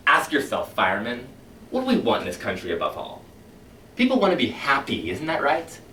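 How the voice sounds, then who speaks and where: Man acting out a sardonic middle-aged fire chief, lively lecturing swings from booming to hushed, echoey hall from far off. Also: fairly quick. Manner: persuasive, sarcastic lecturing, swinging between loud and hushed. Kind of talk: competition dramatic monologue. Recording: echoey hall heard from far off, faint hiss.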